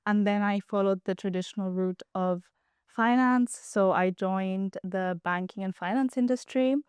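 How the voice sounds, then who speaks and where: Chatty young woman, smooth clear voice. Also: mid-range voice, unhurried, no room sound, clean studio sound. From Cyprus. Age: 20s. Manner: relaxed, chatty, laughs easily. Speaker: guest, app founder. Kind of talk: casual interview chat.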